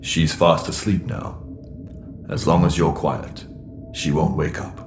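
A man playing a stern, guarded alien warrior leader in an audio drama, speaking in formal, measured, terse phrases. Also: extremely low pitch, flat delivery, gravelly, reverberant, in a large hall, music in the background, full character voice. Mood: quiet, calm.

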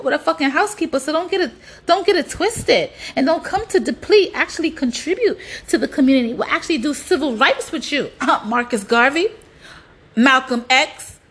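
A woman's voice: high voice, fairly bright tone. A woman delivering an opinionated, exasperated monologue in colloquial speech, with rhetorical questions and frequent swearing.

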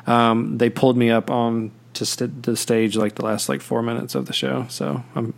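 A man's voice: mid-range voice, little pitch movement, moderate pace, creaky. A man hosting a podcast in casual chat, rambling with many fillers and restarts. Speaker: podcast host.

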